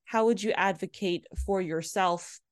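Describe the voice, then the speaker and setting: A woman's voice: medium pitch, measured pace. A woman co-hosting a podcast, chatting casually and laughing easily. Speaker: podcast co-host.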